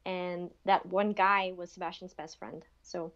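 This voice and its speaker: A woman's voice: on the low side, fairly even intonation, unhurried, a bit bright, lo-fi sound. A young woman from Denmark, a podcast guest, chatting casually at home with many "like" and "um" fillers over an earphone mic on a video call. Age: young adult. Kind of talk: casual interview chat. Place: bedroom at home.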